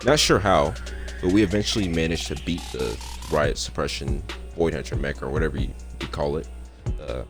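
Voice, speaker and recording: A man's voice: very deep voice, normal speed. A young man casually recapping a game's story, with slangy, joking asides. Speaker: gaming content creator. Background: faint game music.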